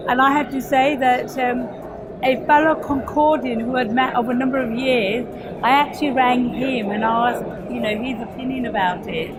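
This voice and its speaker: A woman politician giving a reflective account in hesitant, measured phrases. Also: fairly high pitch, fairly even intonation. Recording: very noisy location with loud ambience.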